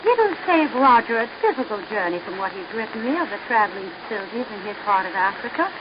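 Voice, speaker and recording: Young woman, teasing and self-assured, very lively intonation, old radio melodrama, noisy recording. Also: on the high side, fast, lo-fi sound. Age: young adult. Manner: coy, playful, theatrical. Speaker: actress. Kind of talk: old-time radio drama acting.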